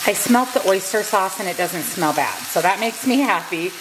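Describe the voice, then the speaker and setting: A woman's voice: medium pitch, animated, moderate pace, reverberant, background noise. A woman giving upbeat step-by-step meal prep instructions in her home kitchen. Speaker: cooking vlogger.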